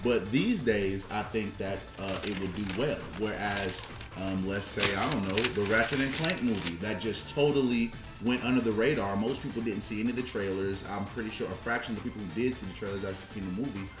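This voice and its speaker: A man co-hosts a casual podcast in a fairly low voice, working through his argument haltingly. He throws out rhetorical questions. The room echoes and music plays clearly behind him. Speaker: podcast co-host. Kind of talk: casual discussion, arguing a point. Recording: roomy echo, music behind.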